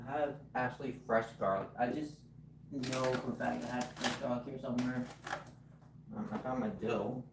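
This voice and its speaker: A man's voice: mid-range voice, fairly even intonation, moderate pace. A man from Ukraine live-streaming from his home kitchen on a phone, chatting casually with viewers and explaining each cooking step as he goes. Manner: chatty, greets viewers and explains steps while chopping. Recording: roomy kitchen with background noise.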